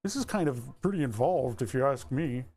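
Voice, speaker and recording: A man's voice: medium pitch, lively intonation, normal speed, darkish tone. A man chatting casually on a live stream about his setup. He rambles easily, chuckles often, and talks into a lapel mic in his workshop. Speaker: livestreamer.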